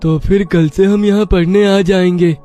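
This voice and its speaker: A man's voice: sits high, steady tone, measured pace, dark tone, soft background music. A man voicing an earnest, respectful poor village schoolboy in a children's story. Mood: respectful, eager. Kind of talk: children's moral story voice acting.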